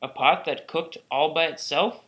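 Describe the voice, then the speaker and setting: A man reading a children's storybook aloud in a plain, slightly halting way. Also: on the high side, a bit bright. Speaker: storybook reader.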